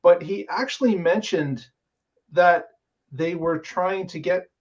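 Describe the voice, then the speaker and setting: A man's voice: high voice, slow, muffled recording. A man hosting a casual podcast, talking through the week's news with many filler words. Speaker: podcast host.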